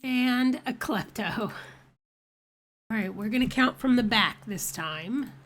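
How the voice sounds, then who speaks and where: A woman's voice: on the high side, lively intonation, slow pace, a bit bright. A woman hosting a let's play, chatty and reading out dice rolls, her voice congested from a cold. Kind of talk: casual game commentary.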